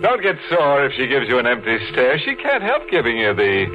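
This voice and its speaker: An actor playing a mocking, sardonic horror host who relishes macabre jokes on a 1940s radio show. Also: male voice, medium pitch, wildly swooping pitch, brisk. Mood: gleefully macabre. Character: gleefully morbid, mocking male horror host. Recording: tinny vintage radio, loud music.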